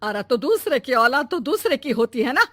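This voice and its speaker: Lady doing a bitter old saas from a cartoon moral story, scolding, snide, kinda high voice. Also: very animated, normal speed, fairly bright tone, dry recording, heavily acted. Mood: bitter, sarcastic. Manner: scolding, sarcastic, insinuating. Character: scheming, bitter old mother-in-law and grandmother.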